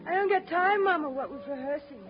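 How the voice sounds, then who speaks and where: Woman acting high, quick, girlish young daughter. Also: over music, lightly acted. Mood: eager, sweet. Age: young adult. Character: eager, girlish young daughter, sweet and sheltered. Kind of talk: 1930s radio drama acting. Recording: dull, muffled 1930s radio broadcast.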